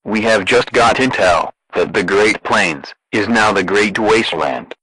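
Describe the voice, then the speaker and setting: A man with a synthetic, deadpan and formal delivery, reading a scripted emergency broadcast announcement. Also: on the low side, measured pace, slightly rough, low-quality recording.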